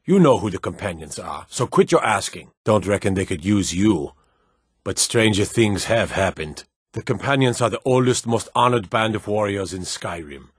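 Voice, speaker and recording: An actor playing a gruff, proud Nord guard in a fantasy video game, blunt and curt with folksy rustic phrasing. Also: male voice, on the low side, expressive, moderate pace, no echo. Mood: blunt, matter-of-fact.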